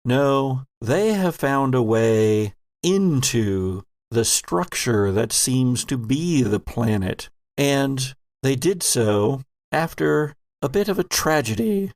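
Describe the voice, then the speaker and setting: A man hosting and game mastering a horror audio drama, narrating with theatrical, deliberate phrasing. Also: medium pitch, expressive, a little mellow, no room sound, clean studio sound.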